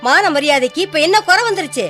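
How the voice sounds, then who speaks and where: A woman's voice: high voice, expressive, fast talker, fairly bright tone, faint music behind. A woman acting in a Tamil radio drama as a worried, bewildered mother, flustered and questioning.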